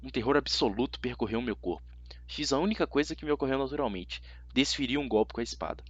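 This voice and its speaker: A man's voice: medium pitch, lively intonation, quick pace, breathy edge, no echo, dull audio. A man with a Brazilian accent narrating a story dramatically in the first person, as an amateur audiobook reader.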